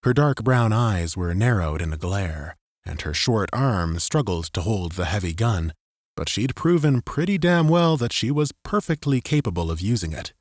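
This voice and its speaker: Deep-voiced male audiobook narrator. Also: expressive, normal speed, no echo, studio quality. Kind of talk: scripted dramatic fiction narration.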